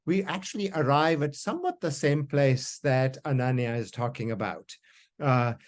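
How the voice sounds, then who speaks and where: Middle-aged man from India, Hindi first language, fairly high voice, reflective storytelling academic. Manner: reflective, storytelling, frequent fillers. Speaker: panel discussant. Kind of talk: academic panel remarks.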